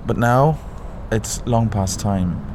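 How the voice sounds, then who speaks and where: An actor playing a gentle, reflective middle-aged confidant in a radio drama, measured and consoling. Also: male voice, fairly low pitch. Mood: consoling, firm. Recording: clearly noisy ambient background.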